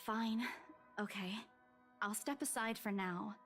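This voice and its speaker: An actress playing an anxious, apologetic young saleswoman who speaks hesitantly and haltingly in a horror visual novel. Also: medium pitch, fairly bright tone, faint music behind.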